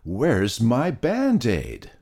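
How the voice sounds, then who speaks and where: A man's voice: low-pitched, big pitch swings, measured pace, a little mellow, voice pushed up, heavily acted. A man voicing a reckless young male pig who whines and pleads in a raised voice. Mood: shouting. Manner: whiny, pleading.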